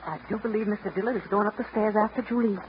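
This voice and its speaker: A woman's voice: medium pitch, fairly even intonation, rapid-fire, noisy background, natural voice. Genteel, anxious lady played by an actress. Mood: gentle, wistful. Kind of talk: vintage radio drama acting. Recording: muffled old broadcast over music.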